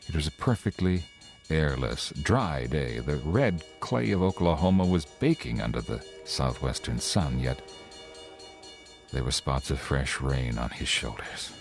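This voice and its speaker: An actor playing an anxious motorist who narrates his drive in an old-time radio drama, intense and halting as his nerves rise. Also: extremely low pitch, gravelly, exaggerated voice. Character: haunted, anxious male motorist recounting his cross-country drive. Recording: vintage radio recording with music underneath.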